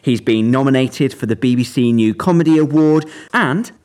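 A man's voice: mid-range voice, moderate pace, darkish tone. A man hosting a casual radio interview, introducing and questioning his guest.

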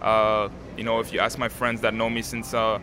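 An eighteen-year-old man from Canada, a native French speaker, answering interview questions outdoors in a calm, composed way with many fillers. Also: fairly low pitch, normal speed. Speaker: tennis player, interviewee. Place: outdoors at a tennis stadium. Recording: crowd noise behind.

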